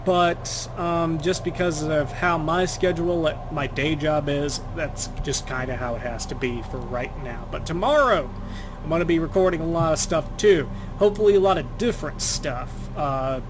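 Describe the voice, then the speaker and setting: Youngish guy a bit hoarse chatting thru his game, higher voice, game noise going behind. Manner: loose, profane trash talk at enemies. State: slightly hoarse, itchy throat. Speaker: gaming channel host. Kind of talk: casual gameplay commentary.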